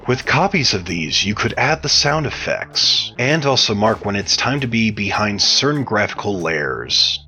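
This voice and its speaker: Male interview guest, low voice, articulate and measured explainer, scripted-sounding delivery, clear music bed underneath. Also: sing-song, pushed and bright. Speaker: interview guest, game modder.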